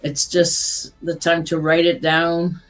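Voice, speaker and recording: A woman with a husky smoker's voice, chatting slowly as a guest on an online call and laughing between halting phrases. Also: lowish voice, completely flat delivery. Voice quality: husky, says she has a smoker's voice. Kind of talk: casual chat.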